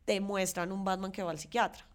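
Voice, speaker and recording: A woman's voice: mid-range voice, level tone, fairly quick. A woman with a Colombian accent co-hosting a casual, informal podcast chat, often hesitating and repeating words as she thinks. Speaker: podcast co-host. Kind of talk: casual chat about pop culture.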